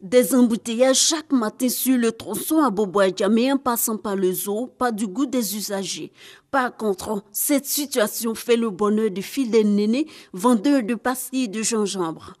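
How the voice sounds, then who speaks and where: A woman giving scripted news narration as a reporter, in a measured, formal delivery. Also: on the high side, a little mellow.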